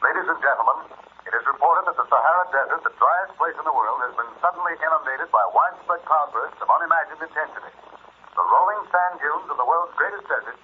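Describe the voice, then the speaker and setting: An actor in 1940s radio drama style playing a grave, formal news announcer with urgent delivery, on an old hissy broadcast recording. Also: male voice, on the high side, quick pace, husky, narrow, tinny audio.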